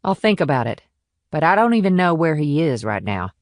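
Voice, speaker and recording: A woman's voice: deep voice, big pitch swings, a little fast, no echo, clean studio sound. A woman playing a guarded, blunt, no-nonsense small-town cop in audiobook dialogue. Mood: guarded, dry.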